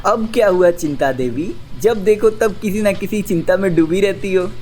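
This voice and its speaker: A man's voice: sits high, moderate pace, a little mellow, lightly acted. A man playing an opinionated, teasing young office worker in a scripted radio drama, lecturing his friends bluntly. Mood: teasing, impatient. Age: young adult. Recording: faint background noise and ambience.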